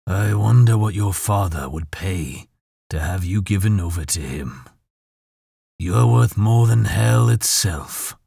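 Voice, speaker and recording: A man voicing a menacing, mocking demon lord in an audiobook, with a raspy voice and a slow, deliberate delivery. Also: deep voice, expressive, dark tone, no echo, studio quality, full character voice. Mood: taunting. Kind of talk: audiobook character voice.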